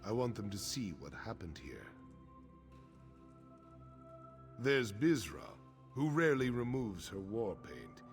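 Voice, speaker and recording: Honorable orc chief for a video game, measured and serious, deepish, very expressive intonation. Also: male voice. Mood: matter-of-fact. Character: grave, honorable male orc warrior chief, middle-aged. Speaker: quest-giving game character. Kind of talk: scripted video game dialogue. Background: game music.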